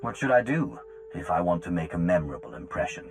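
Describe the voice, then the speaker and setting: An actor playing a proud, impatient man of high status in a video game, with a haughty, demanding delivery. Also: very animated, fast, noisy background. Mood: questioning. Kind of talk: video game cutscene dialogue.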